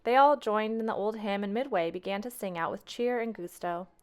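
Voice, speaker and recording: A woman storyteller reading a children's tale aloud with expressive narration. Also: mid-range voice, a little fast, smooth.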